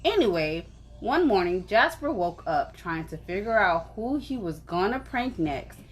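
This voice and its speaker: A woman reading a story aloud in an animated, conversational way, as if for children. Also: mid-range voice, unhurried, breathy edge. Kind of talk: expressive children's story narration.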